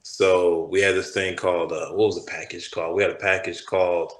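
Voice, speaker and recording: A man from Texas with a low voice tells stories about his football days in a relaxed, rambling way, circling back and restarting his sentences. His voice carries the echo of the room he is in. He sounds like a former player who is a guest on an interview. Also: fairly quick. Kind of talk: casual interview talk.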